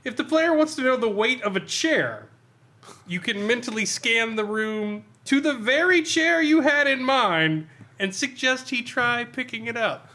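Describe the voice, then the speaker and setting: A man co-hosting a podcast, giving an animated, sweary comedic review of a role-playing adventure, reading bits out and laughing often. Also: very high-pitched, measured pace. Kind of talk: casual comedic review with read-out excerpts.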